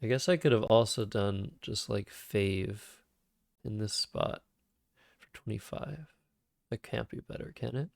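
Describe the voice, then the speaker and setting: Man, low, gravelly fry, very slow, calming, dry close sound, cheap mic, game streamer thinking aloud. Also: no room sound. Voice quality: calm, soothing voice; vocal fry. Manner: thinks aloud over moves, soft-spoken and unhurried. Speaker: Scrabble streamer. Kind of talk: live-streamed game commentary. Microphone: cheap microphone.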